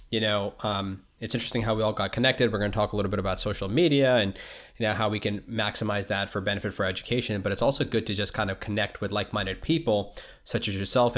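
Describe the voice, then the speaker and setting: A man's voice: on the low side, fairly bright tone. A male podcast host who reads a guest introduction and then asks loose, rambling questions full of fillers. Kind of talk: read intro, then casual interview chat.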